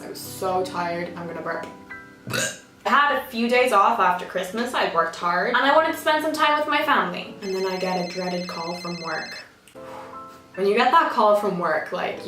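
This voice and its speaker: A woman's voice: medium pitch, fairly bright tone. A young Irish woman with a slightly American-tinged accent, telling sarcastic work stories in a casual chatty way, and swearing freely. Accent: Irish, partly American-sounding. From Ireland. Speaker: vlogger. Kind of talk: casual storytime monologue. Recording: roomy, slightly distant, music bed.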